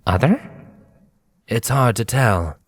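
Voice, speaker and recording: A smooth, silvery pony officer as a man narrating puts him on. He talks in a measured, placating way with patient, condescending calm, like a storybook commander. The sound is dry and close. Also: mid-range voice, no room sound, voice pushed up. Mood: calmly authoritative. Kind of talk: storybook character voice in fan fiction reading.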